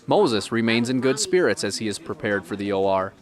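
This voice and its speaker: A man's voice: fairly low pitch, expressive, a little fast. A man reading scripted narration for a local television news feature.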